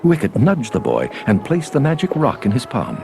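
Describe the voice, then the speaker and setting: A man's voice: mid-range voice, wildly swooping pitch, moderate pace, vocal fry. A man reading a scripted children's adventure story as a dramatic narrator, over music.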